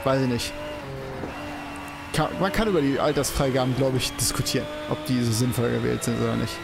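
A man's voice: medium pitch, steady tone, fairly quick, vocal fry. A young man rambling casually while commentating a racing game, swearing and exclaiming at the track. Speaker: let's play host. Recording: game music playing behind the voice.

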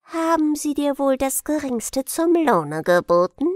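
A haughty gnome king from a storybook, played by a woman, coaxes with false friendliness. The speech is slow and pompous, sliding into breathy whispers. Intonation swoops widely, in a dry, close sound. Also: sits high, very animated, darkish tone, no echo, pitched above the performer's own voice. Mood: coaxing, condescending. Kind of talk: fairy-tale audiobook reading.

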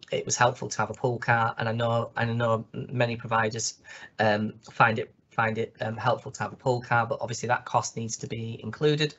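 Slightly hoarse guy explaining a spreadsheet, lots of ums, pretty monotone, dull lo-fi call audio. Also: mid-range voice. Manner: hesitant, many ums and restarts. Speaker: consultant presenting a toolkit. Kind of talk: screen-share walkthrough.

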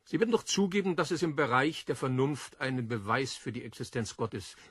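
Husky, lecturing intellectual. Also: male voice, medium pitch, expressive, a little fast, a bit bright, no echo, clean studio sound. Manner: lecturing, assured. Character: learned, self-assured atheist literary editor, male. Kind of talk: audio drama acting.

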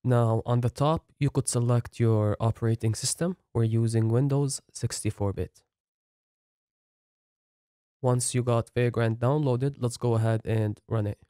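Man calmly walking through software setup. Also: medium pitch, unhurried, dry recording, clean studio sound. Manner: plain step-by-step explaining. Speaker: software tutorial instructor. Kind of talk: screen-recorded coding tutorial narration.

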